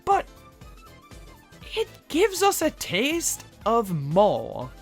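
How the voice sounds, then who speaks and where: A woman delivering scripted movie review commentary as a video host, with theatrical, exasperated emphasis and some swearing. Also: a little high.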